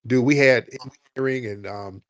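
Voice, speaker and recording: A man hosting a call-in show, talking in a blunt, profane and animated way and sometimes mocking people in a high put-on voice. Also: a little fast. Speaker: host.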